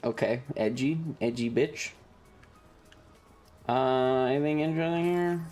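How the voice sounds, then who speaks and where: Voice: medium pitch, measured pace, a little mellow. A streamer giving casual, sarcastic game commentary to chat, playfully crude and full of swearing. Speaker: streamer, host. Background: faint game music.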